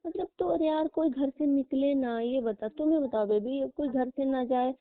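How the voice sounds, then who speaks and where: A woman's voice: high-pitched, moderate pace, no echo. Plaintive young woman on a tinny phone line. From rural Uttar Pradesh, India. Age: young adult. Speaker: girlfriend on a personal phone call. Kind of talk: casual intimate phone conversation. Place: phone call recording. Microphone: telephone.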